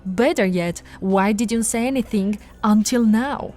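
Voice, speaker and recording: A patient, teasing policewoman, acted by a woman talks softly and slowly, coaxing and a little flirtatious. Her voice sits at a middle pitch and comes through a close mic, with faint music underneath. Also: close to an everyday voice. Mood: matter-of-fact. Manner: soft-spoken, coaxing, playfully flirtatious. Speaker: ASMR voice actress. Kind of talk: ASMR audio roleplay.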